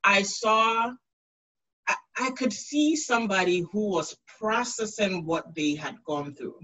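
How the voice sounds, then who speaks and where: Host: woman in her 30s, bright tone, supportive interviewer on a home webcam call. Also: mid-range voice, lively intonation, slow, poor audio. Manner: warm affirming interviewer, many mm-hmms. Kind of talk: casual video-call interview. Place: room at home. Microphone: video call webcam.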